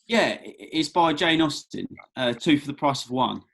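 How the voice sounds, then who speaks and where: A man acts out an eager young man on a date in a screen comedy read-through. His mid-pitched voice is noticeably husky, and he sounds hesitant and earnest as he tries to impress. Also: expressive, moderate pace, a bit bright. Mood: awkward, earnest. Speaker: actor in a script read-through. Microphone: video call.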